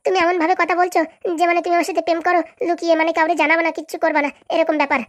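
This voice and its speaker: A woman's voice: extremely high pitch, little pitch movement, rapid-fire. A woman voicing a lovesick, clingy young girlfriend in a comedy cartoon, with a pleading, insistent manner. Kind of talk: Bangla comedy cartoon dialogue.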